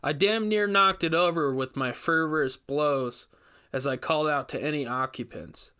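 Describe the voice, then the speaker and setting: A man playing an investigator who recounts a frightening ordeal in measured, emphatic, scripted first-person narration. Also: a little high, pushed and bright, clean tone. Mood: tense, recounting. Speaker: narrator reading a story.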